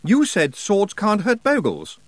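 A man's voice: high voice, huge pitch swings, measured pace, dry recording, voice pushed up, full character voice. A man voicing an earnest, nervous young man who talks politely and anxiously in an audiobook. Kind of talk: audiobook character voice.

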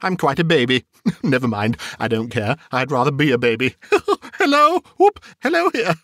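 A man's voice: a little high, expressive, unhurried, fairly bright tone, dry recording, clean studio sound, pitched above the performer's own voice. A man voicing a reformed old miser bursting with joy and tearful emotion, exclaiming and whooping in a dramatic storybook reading. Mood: giddy, exuberant. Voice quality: voice breaking with emotion.

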